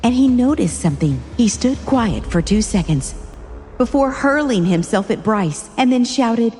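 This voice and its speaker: A woman's voice: on the high side, lively intonation, moderate pace, warm tone, long reverb tail, soft background music. A woman reading scripted narration for a dramatic story.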